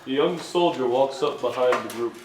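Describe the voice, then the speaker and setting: A man's voice: medium pitch, moderate pace, noisy background. A man reading stage directions as the narrator of a live staged reading, in a scripted dramatic style. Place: indoor stage at an arts venue. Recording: echoey stage room.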